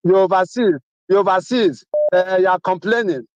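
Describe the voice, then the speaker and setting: A man's voice: high voice, normal speed, background noise. A middle-aged Nigerian man who phones in from abroad. He speaks emphatically and keeps repeating his main points. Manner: emphatic, repeats key phrases. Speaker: caller. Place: Atlanta, abroad. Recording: dull muffled phone line.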